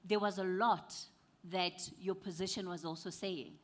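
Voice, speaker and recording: A woman moderating a panel discussion, asking questions in a measured, thoughtful way. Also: on the low side, fairly even intonation.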